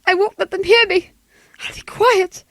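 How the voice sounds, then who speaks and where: Scared bossy sick wife home alone, old radio thriller, super high voice, dry close sound. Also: female voice, fairly even intonation, normal speed, a bit bright, dry recording. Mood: alarmed. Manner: imperious, nervous, dramatic. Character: frightened, demanding invalid wife alone at night.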